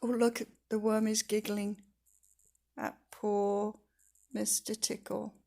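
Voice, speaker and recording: A woman's voice: mid-range voice, flat delivery, slow pace, creaky, mellow. A woman reading a children's story aloud expressively and emphatically, with asides to her listeners. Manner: emphatic, engaging listeners with questions.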